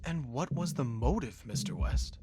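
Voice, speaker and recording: Video game interrogator: deep for a woman, measured yet highly varied intonation, probing, eerily poetic, needling. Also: lively intonation, mellow, slightly rough, soft background music, full character voice. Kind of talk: dramatic interrogation dialogue.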